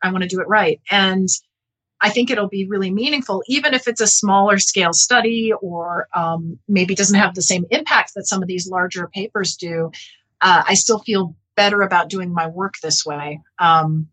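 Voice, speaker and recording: A woman speaking as a professor in an interview, explaining her research in an earnest, measured, halting way with self-corrections. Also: mid-range voice, a bit bright, low-quality recording.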